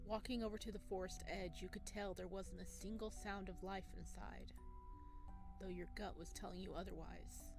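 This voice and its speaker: A woman reading a visual novel aloud as a let's player, expressive, with casual chatty reactions. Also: mid-range voice, moderate pace, music in the background. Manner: expressive, exclaims and chats between read passages. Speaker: video game let's player.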